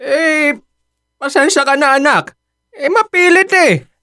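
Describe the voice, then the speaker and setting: A man's voice: very high voice, animated, slow pace, bright, forceful tone, dry recording, clean studio sound, full character voice. An actor playing an apologetic, mild-mannered older Filipino father in a radio drama, hesitant and pleading.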